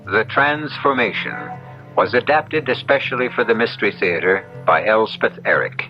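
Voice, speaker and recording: A man hosting a 1970s radio mystery drama, introducing the story in a measured, dramatic storyteller's manner. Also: fairly low pitch. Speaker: radio drama host and announcer. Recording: muffled 1970s radio broadcast, faint music.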